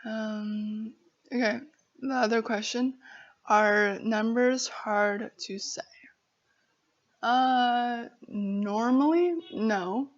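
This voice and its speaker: An American woman vlogger from Arizona with a stutter, chatting casually to camera in a halting, apologetic, giggly way. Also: on the high side, highs cut off. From Arizona, United States.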